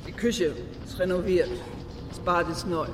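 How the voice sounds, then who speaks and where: An actor playing a businesslike letting agent in a radio drama, reeling off sales patter unmoved. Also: male voice, sits high, unhurried. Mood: matter-of-fact. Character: businesslike, matter-of-fact male apartment letting agent. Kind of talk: German radio drama acting. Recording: distant, reverberant hall, loud city noise.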